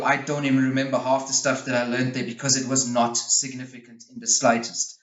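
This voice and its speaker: A man's voice: medium pitch, a bit bright. Man in an echoey, muffled recording. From Switzerland. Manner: frank, opinionated. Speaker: interview guest, youth football coach. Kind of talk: conversational interview answers, explaining.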